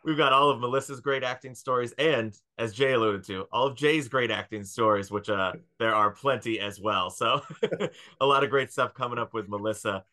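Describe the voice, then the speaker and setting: A man's voice: mid-range voice, moderate pace, sharp tone. A man in his thirties hosting a casual podcast interview over a video call on a headset. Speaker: podcast host. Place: indoor room, video call.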